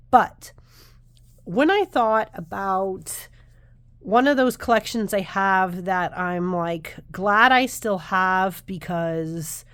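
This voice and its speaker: A woman's voice: mid-range voice, no room sound. Thirties woman vlogging about tarot, bit nasal from flu, rambly chatty, dry close sound. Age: 30s. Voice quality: slightly nasal. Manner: chatty, rambling, self-interrupting. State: recovering from flu. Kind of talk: casual chat to camera.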